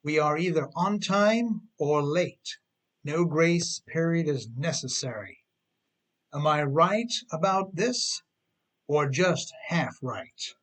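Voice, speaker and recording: Middle-aged man with a husky, higher-pitched voice. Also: animated, slow, a bit bright, poor audio. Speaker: author reading his own book. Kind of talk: scripted audiobook narration, humorous advice.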